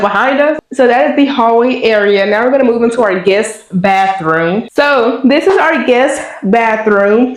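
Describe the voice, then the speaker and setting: A young woman in her twenties with a congested voice, chatting casually to viewers while giving an apartment tour. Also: fairly high pitch. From Dallas, Texas. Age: 20s. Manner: chatty, addresses viewers as y'all. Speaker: vlogger. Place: apartment indoors. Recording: echoey apartment rooms.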